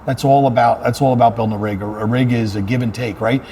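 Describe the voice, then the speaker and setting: A man's voice: medium pitch, fairly even intonation, fast, mellow, slight background noise. A man speaking as a fire chief being interviewed, talking about his work in an earnest, conversational way and repeating phrases for emphasis.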